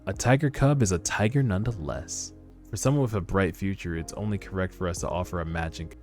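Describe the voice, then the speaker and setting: Formal, persuasive corporate recruiter read by a man, low measured voice, webtoon dub with music behind. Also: a little mellow. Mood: measured, courteous. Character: polite, businesslike male corporate recruiter. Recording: background music under voice.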